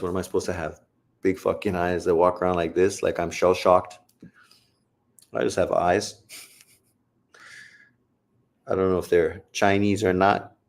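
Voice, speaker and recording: A deep-voiced man gives loose live commentary on a bout, cursing freely and cracking jokes between punches. His low voice stays casual and blunt as he shouts encouragement at the fighters and reads out viewer questions. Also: creaky. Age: adult, old enough to say he got old. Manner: profane, blunt and joking. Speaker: livestream host reacting to a boxing fight.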